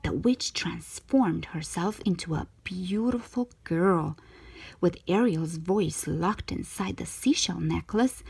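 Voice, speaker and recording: Female storyteller for children's picture books, breathy tone, expressive reading at an unhurried, very slow pace. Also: mid-range voice.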